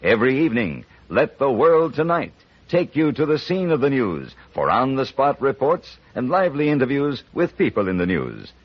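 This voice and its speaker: An American male announcer reads a vintage radio promo in a polished, emphatic way. His voice is husky with lively inflection, on a muffled old recording. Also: medium pitch, normal speed. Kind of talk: mid-century scripted radio promo.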